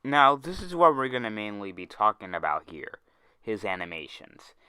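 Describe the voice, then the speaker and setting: A young man rants in a commentary video, sounding exasperated and stumbling over his words as he restarts them. His voice is bright and clear, his pitch swings widely, and he talks slowly. The recording is dry and close. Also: sing-song, no echo. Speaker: YouTube commentator.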